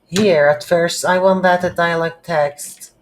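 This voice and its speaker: A coding instructor who is a non-native English speaker, giving an unscripted game development tutorial and thinking aloud haltingly while working. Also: medium pitch, fairly even intonation. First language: not English. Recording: noisy, with keyboard typing. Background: computer keyboard typing.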